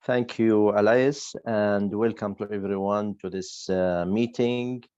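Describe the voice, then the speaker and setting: A man's voice: fairly low pitch, fairly even intonation, slow, warm tone, clear, resonant tone, no echo. A middle-aged man giving a reflective presentation as a guest speaker, hesitant and searching for his words over a dull online-call connection. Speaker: guest speaker, communications expert.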